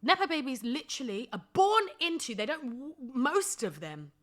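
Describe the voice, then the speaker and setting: A woman's voice: high voice, big pitch swings, normal speed, a bit bright. A woman in her twenties chatting casually as a solo podcast host, emphatic and sassy, often repeating phrases for comic effect. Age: 20s. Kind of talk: casual solo chat with humorous rants.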